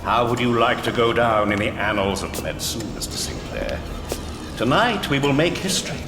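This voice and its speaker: A man's voice: medium pitch, expressive, normal speed, background noise, natural voice. An actor playing a grandiose, pompous mad surgeon villain in an audio drama, mock-courteous and gleefully proud of his work. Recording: echoey hall reverb with loud music.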